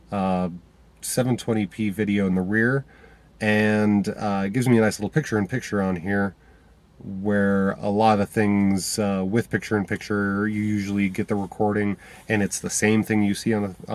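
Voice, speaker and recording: A man's voice: lowish voice, darkish tone. Stuffy-nosed man vlogging from his car. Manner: rambling, many fillers and restarts. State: stuffy from a cold. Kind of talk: casual talk to camera. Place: inside a parked car.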